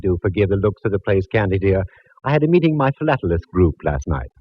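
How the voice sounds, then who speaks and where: An actor playing a fussy, genteel middle-aged art dealer in a 1950s radio drama, speaking in a refined, mannered way. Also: male voice, sits low, big pitch swings, fast, slight background noise. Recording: dull old radio broadcast.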